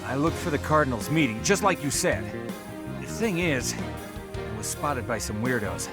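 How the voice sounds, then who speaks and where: A man's voice: mid-range voice, lively intonation, moderate pace, over loud music, natural voice. An actor voicing a sheepish henchman in a video game, hesitant and casual as he answers to his boss.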